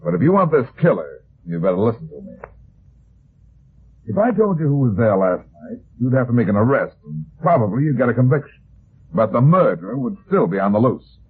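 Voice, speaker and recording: An actor playing a tough, wisecracking private detective in an old-time radio drama, clipped and slangy. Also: male voice, mid-range voice, sing-song, a little fast, husky. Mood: firm, insistent. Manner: clipped, hard-boiled, slangy. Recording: muffled, phone-like old radio recording.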